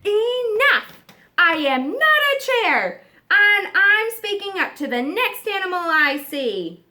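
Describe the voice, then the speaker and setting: A woman voicing an exasperated young male giraffe in a children's storybook, anxious but indignant, protesting with drawn-out emphasis. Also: very high-pitched, big pitch swings, sharp tone, pitched above the performer's own voice, exaggerated voice.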